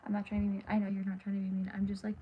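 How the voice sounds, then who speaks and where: Tired teen girl half whispering in a dark bedroom, voice going, rambly, slight hiss behind. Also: medium pitch, monotone, very fast. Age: teens. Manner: hushed, half-whispered and rambling with many fillers. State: tired and losing her voice. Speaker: livestreamer. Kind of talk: casual late-night livestream chat. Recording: dull lo-fi phone audio with faint hiss.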